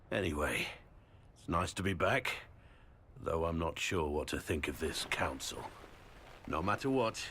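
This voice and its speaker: An actor voicing a gruff, remorseful middle-aged warrior in a video game, earnest and weary. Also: male voice, deep voice, fairly bright tone, slight background noise.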